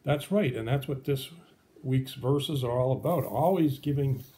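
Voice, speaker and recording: A man's voice: medium pitch, measured pace, dark tone. A man speaking as a pastor and puppet show host, explaining things simply and patiently to children.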